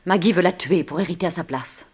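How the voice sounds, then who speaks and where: A woman's voice: on the low side, fast talker, a little mellow, slightly rough. An actress in an early 1960s French radio play, voicing a seductive, teasing, coolly persuasive woman thief. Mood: earnest.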